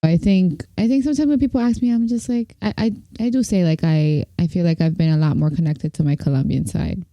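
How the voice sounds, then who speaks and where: A woman's voice: on the low side, fairly quick, few pauses, warm tone, no echo. A young woman from Brooklyn, co-hosting a podcast in casual chat, giggly and full of restarts. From Brooklyn, New York. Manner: chatty, giggly, trailing restarts. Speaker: podcast co-host.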